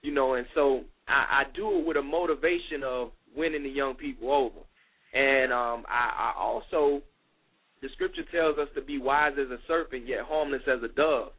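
A man in his thirties, a youth minister guest, talking in a relaxed, conversational way with many fillers on a radio call. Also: medium pitch, normal speed, husky. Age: 30s. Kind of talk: casual radio interview talk. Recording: phone-line radio call.

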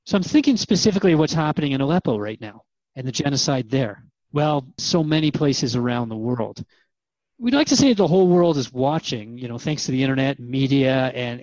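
A man's voice: mid-range voice, a little mellow, dry recording, dull audio, close to an everyday voice. A man playing an earnest, searching radio host in a drama, asking questions in a thoughtful, conversational, hesitant way. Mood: earnest, concerned. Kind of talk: radio drama interview dialogue.

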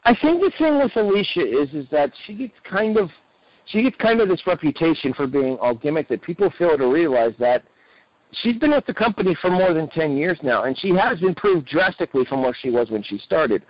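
Slightly rough-voiced man on dull podcast audio. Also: on the high side, sing-song, fairly quick, no room sound. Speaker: wrestling podcast co-host. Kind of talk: casual sports review chat.

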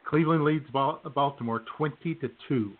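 A man's voice: fairly high pitch, moderate pace. A man hosting a comedy podcast, chatting casually and jokily with his co-hosts in a bantering, mock-enthusiastic way. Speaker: host of a comedy radio podcast. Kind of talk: casual jokey chat with co-hosts. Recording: tinny phone-like band-limited sound.

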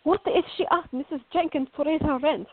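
A woman's voice: high-pitched, a little fast, no room sound, lightly acted. A woman acting a fiery, stubborn, sarcastic teenage girl in a radio drama. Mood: anxious. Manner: headstrong, sarcastic. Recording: muffled, phone-like narrow-band audio.

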